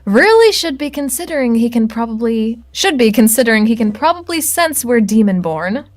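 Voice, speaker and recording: A woman's voice: fairly high pitch, animated, moderate pace, natural voice. A woman voicing a nosy, pushy female demon in a visual novel, with a sassy, slightly Valley Girl manner. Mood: pushy, exasperated.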